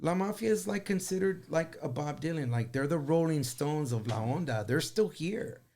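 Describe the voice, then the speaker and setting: A man's voice: on the high side, expressive, normal speed, creaky, a little mellow, a little hiss or hum. A man talking casually as an interview guest, earnest and emphatic about his points. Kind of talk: casual chat.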